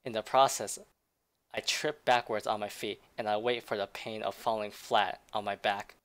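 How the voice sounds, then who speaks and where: A woman's voice: very deep voice, fairly even intonation. Woman narrating a game playthrough. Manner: reads the story's inner voice, with quick reactions. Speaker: game player reading a visual novel aloud. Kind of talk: let's play narration.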